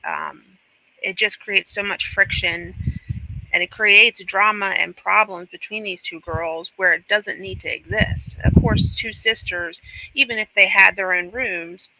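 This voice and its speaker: A woman's voice: mid-range voice, a little slow, pushed and bright, poor audio. A woman panelist giving critical, emphatic commentary in casual talk after reading out comments. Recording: faint background noise, clicks.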